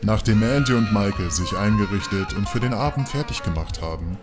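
A man's voice: lowish voice, fairly quick, over music. A man narrating an audio drama from a script.

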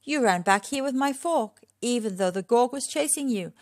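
A woman's voice: fairly high pitch, expressive, moderate pace, no echo, clean studio sound, voice pushed up, close to an everyday voice. A woman voicing a wise, kindly female talking trash heap in a children's storybook, reassuring and sage. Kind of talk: children's storybook character reading.

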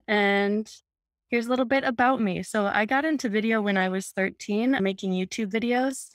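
A young woman from Minnesota presents a webinar over a video call, her tone friendly and upbeat as she explains each point. Her voice sits at an ordinary middle pitch. The sound is close and dry. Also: no echo.

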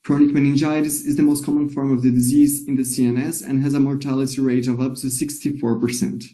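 Male presenter, measured reading, deep mellow tone. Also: little pitch movement. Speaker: medical presenter.

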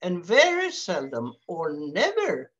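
Older Swedish-born woman, slow and emphatic over a muffled headset call line. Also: fairly low pitch, huge pitch swings. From Sweden. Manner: slow, emphatic, wandering phrasing. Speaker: webinar speaker. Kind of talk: unscripted talk on video call. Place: home room, indoors. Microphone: headset on video call.

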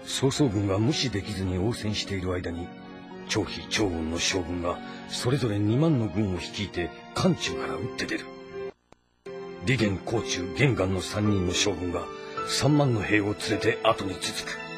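An actor playing a composed, calculating and loyal strategist in a historical game drama, speaking formally and deliberately. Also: male voice, deep voice, animated, music in the background. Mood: brooding, resolute. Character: composed, calculating, loyal male strategist advising his lord.

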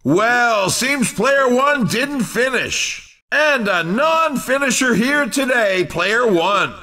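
An excitable video game race commentator, calls results with theatrical emphasis. His voice is very high and piercingly bright, and he takes his time over each unhurried phrase. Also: far from the mic, full character voice. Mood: let down.

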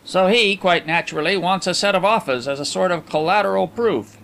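Brief: male narrator playing an affable coin dealer, relaxed and knowing, light hiss. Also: high voice, brisk, creaky, voice pushed up. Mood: chatty. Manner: easygoing, chatty, knowing. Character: genial shrewd male coin dealer, middle-aged.

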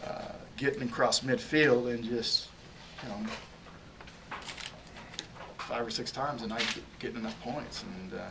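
A man's voice: medium pitch, steady tone, a little slow, creaky, long pauses. A man in his forties, a head football coach answering questions at a postgame press conference, often restarting and repeating words as he searches for phrases. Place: small press room, in front of a logo backdrop. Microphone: table microphone on a stand. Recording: distant mic, audible room noise.

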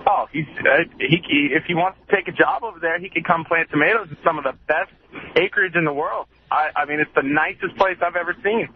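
A man calling in to a radio show, friendly and grateful, speaking in a halting way. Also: a little high. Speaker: caller. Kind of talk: phone-in call. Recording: tinny phone line with faint noise.

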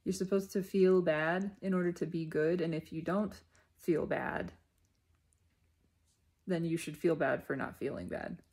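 Low, creaky-voiced woman reflecting aloud. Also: steady tone, darkish tone. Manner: deliberate, halting phrases with emphatic repetition. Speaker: speaker sharing personal spiritual reflections. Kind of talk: unscripted reflective spiritual monologue.

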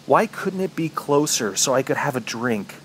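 An injured, parched man pinned in the wild , played by a male actor, tells his story in a horror radio drama. His mid-range voice is brooding and halting, with dramatic weight. A faint bed of ambient noise sits behind him. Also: mid-range voice, animated, lightly acted. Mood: weary, bitter. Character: injured, thirsty trapped man recounting his ordeal. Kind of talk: first-person horror radio drama narration.

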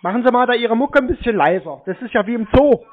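A man on a prank phone call, acting confused in a deadpan manner. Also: very high voice, quick pace. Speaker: prank call recipient baiting telephone scammers.

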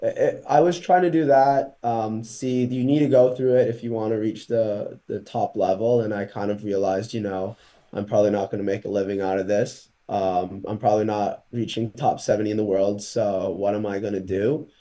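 A young man from Portugal, a college tennis player, answers interview questions over a video call in a relaxed, hesitant way with many pauses, speaking through a laptop mic. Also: fairly low pitch. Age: young adult.

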